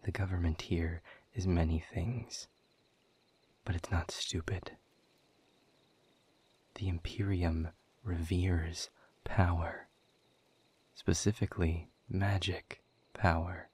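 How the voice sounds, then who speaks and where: A man playing a sardonic, world-weary demon director in a scripted audio roleplay, speaking in dry, sarcastic, wordy drawls with a husky, breathy low voice. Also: little pitch movement, takes it slowly, long pauses, darkish tone, heavily acted. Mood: grim, bitter. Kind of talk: scripted audio roleplay monologue addressed to the listener.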